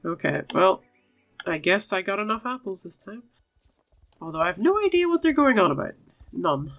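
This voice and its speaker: A woman's voice: medium pitch, big pitch swings, normal speed. A woman doing casual game commentary, chatty and talking to herself as she plays. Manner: chatty, talks to herself and her virtual animals. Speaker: gaming video host. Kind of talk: casual game commentary, reading dialogue aloud. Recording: voice over game music. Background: game music.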